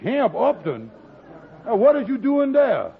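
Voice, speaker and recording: An actor playing a scheming, fast-talking lodge boss in a 1940s radio comedy. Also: male voice, extremely high pitch, huge pitch swings, vocal fry, slightly rough, heavily acted. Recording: tinny old radio broadcast.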